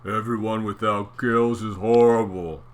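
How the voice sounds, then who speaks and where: A man voicing a mean, bullying eel in a storybook reading, loud and jeering. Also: fairly low pitch, steady tone, a little slow, lightly acted.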